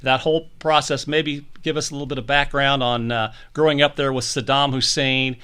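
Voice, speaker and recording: Bright, eager male podcast host. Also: medium pitch, flat delivery, normal speed, clean tone. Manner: enthusiastic, with frequent restarts and stumbles. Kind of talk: conversational interview hosting.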